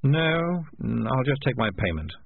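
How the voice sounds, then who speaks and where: A man voicing a smug, menacing piper who mocks and threatens in a slow drawl, for an audiobook. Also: sing-song, gravelly, no room sound, narrow, tinny audio, heavily acted. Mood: cold threat. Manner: drawling, mocking, low-voiced threats.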